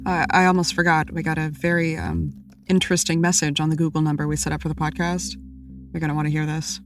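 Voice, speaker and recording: A woman's voice: low voice, level tone, quick pace, faint music behind. A woman playing a level-headed, dry-witted co-investigator in an audio drama. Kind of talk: audio drama dialogue, conversational.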